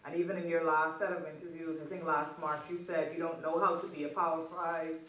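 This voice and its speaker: A woman's voice: low voice, flat delivery, brisk, a bit bright, slight background noise. Woman reporter, long winding question, roomy remote audio from far away. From Bahamas. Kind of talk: press conference question.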